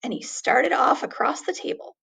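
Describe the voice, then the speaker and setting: A woman reading a children's story aloud expressively, with deliberate, dramatic emphasis on words. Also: high voice, creaky, a bit bright.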